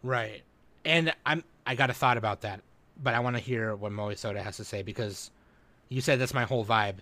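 A man's voice: medium pitch, brisk, bright, forceful tone, dry recording. A man hosting a podcast in casual chat, talking in profane, bro-heavy slang. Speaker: podcast host.

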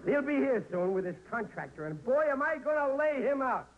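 A man's voice: very high voice, very animated, brisk, heavily acted. An actor playing a stubborn, blustering working-class father, bossy and exasperated, in 1940s radio comedy style. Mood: boastful. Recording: dull, noisy old radio recording.

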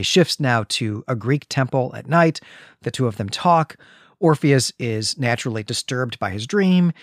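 A male podcast host with a mid-pitched voice talks through a story in a considered, measured manner. He reworks his phrasing as he explains. The sound is dry, with hardly any room to it. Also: no echo. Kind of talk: literary discussion with retelling and reading aloud.

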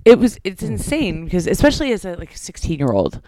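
Low-voiced woman in her thirties, chatting. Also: very animated, fairly quick, dark tone, no room sound. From Michigan. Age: 30s. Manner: chatty, fills speech with "like". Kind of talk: casual chat.

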